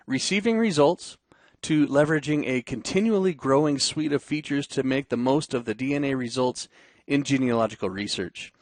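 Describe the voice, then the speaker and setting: A man's voice: medium pitch, dry recording. A man hosting a live webinar, reading a friendly introduction of the guest, then chatting loosely with some fillers. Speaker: webinar host.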